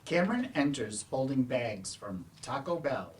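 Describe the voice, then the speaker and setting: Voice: medium pitch, very animated, a little slow. A person reading stage directions aloud at a staged play reading.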